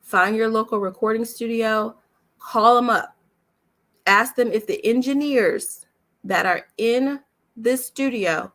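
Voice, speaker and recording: A woman giving an informal lesson as a voice-over coach, speaking emphatically and instructively, repeating key points and often checking in with her listeners. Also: medium pitch. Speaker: voice-over coach and demo producer. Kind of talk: informal teaching talk, coffee chat webinar.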